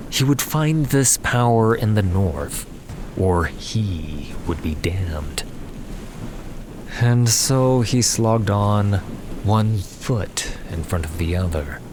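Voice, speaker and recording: A man narrating a fantasy story in a measured, solemn way with weighted pauses, as in a scripted dramatic audiobook. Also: fairly low pitch, animated, noisy background. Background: background music.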